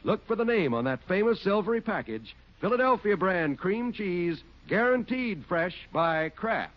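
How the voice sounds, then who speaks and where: An American man delivers an old-time radio commercial. His fairly high voice rises and falls with polished enthusiasm. The sound is dull and vintage. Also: sing-song, unhurried. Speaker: radio announcer. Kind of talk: 1940s radio advertising read. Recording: muffled 1940s radio recording.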